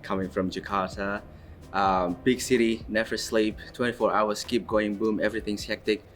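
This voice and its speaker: A man, speaking in a lively, expressive way about city life. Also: on the low side, normal speed. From Indonesia. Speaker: interviewee. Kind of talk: personal interview. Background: background music.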